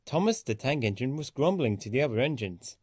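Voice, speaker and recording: Animated man reading a children's story. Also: mid-range voice, fairly quick, noisy background. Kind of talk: read-aloud children's story narration.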